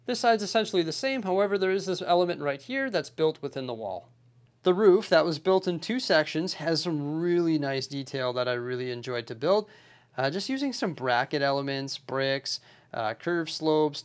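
Upbeat man reviewing a building set. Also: on the high side. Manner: enthusiastic but nitpicky, explains while handling pieces. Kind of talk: hands-on product review.

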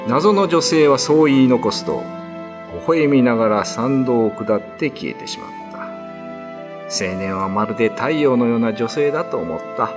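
A man's voice: mid-range voice, lively intonation, moderate pace. A man narrating a scripted ghost-story radio drama in a dramatic storytelling style. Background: music.

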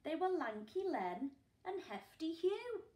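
A woman in her forties, a primary school teacher reading a picture book aloud at home, with gasps and hushed asides for young listeners. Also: extremely high pitch, very animated, unhurried, reverberant. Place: living room at home, indoors. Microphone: camera a few feet away.

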